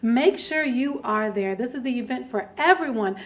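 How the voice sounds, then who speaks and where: A woman's voice: on the high side, expressive, moderate pace. A woman hosting a promotional interview, speaking with encouragement and enthusiasm and echoing her guest. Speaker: interviewer, host.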